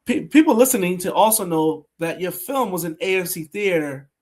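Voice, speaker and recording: Male podcast host, high and lively, tells stories with emphatic repeats. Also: moderate pace. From Brooklyn, New York. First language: Haitian Creole. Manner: animated storytelling, emphatic repeats. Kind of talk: conversational interview.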